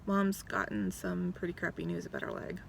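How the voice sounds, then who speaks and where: A woman's voice: lowish voice, big pitch swings, a little fast, creaky, slight background noise. A woman in her thirties chatting casually in a vlog, blunt and swearing freely, with lots of ums, recorded outside a doctor's office. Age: 30s. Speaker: vlogger. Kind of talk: casual rambling vlog chat.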